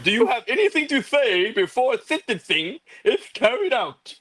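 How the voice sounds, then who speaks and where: A man's voice: very high-pitched, big pitch swings, normal speed, a bit bright, breathy edge, heavily acted. A man acting a stern, commanding medieval sheriff with formal delivery in a script read. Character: stern medieval sheriff pronouncing a death sentence.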